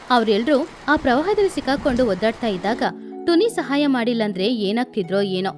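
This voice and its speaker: A woman storyteller narrating scripted bedtime stories for children. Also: a little high.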